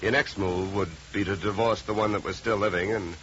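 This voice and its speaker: Hardboiled male detective, played by an actor, sharp-voiced, sardonic, shrewd, for a vintage radio serial; dull, faintly noisy recording. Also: on the low side, fairly even intonation, brisk, lightly acted. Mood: shrewd, confident. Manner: clipped, sardonic, questioning. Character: cool, shrewd, wisecracking male private detective. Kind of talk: 1950s hardboiled radio acting.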